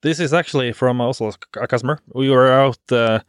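A man's voice: mid-range voice, smooth, no room sound, studio quality. A man from Norway, a podcast guest, explaining a work story with many halts and restarts. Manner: halting, with many restarts and fillers. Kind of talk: casual podcast chat.

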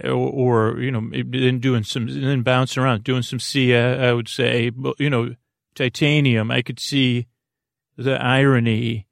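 A man hosting a podcast with a creaky voice, rambling through an improvised monologue, halting and digressing as he trails off mid-thought. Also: medium pitch, no room sound, clean studio sound. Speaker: podcast host.

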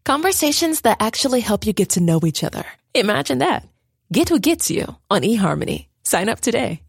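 Husky, creaky female ad reader. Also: on the high side, sing-song, brisk, no room sound. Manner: upbeat, persuasive. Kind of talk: read commercial.

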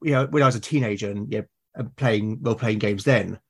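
A man's voice: fairly low pitch, quick pace, slightly rough. A man from Britain speaking as a guest in casual conversation, agreeable and hesitant, repeating words as he thinks. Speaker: podcast guest. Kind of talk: casual conversation about roleplaying games.

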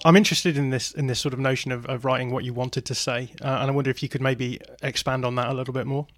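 A man's voice: medium pitch, fairly even intonation, fast talker, fairly bright tone, no echo, clean studio sound. A man hosting an interview in a conversational way. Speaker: interview host.

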